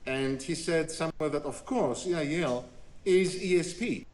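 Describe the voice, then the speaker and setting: A man's voice: fairly high pitch, moderate pace, a little mellow. Male moderator, filler-heavy. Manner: hesitant, many fillers. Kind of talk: moderating a panel.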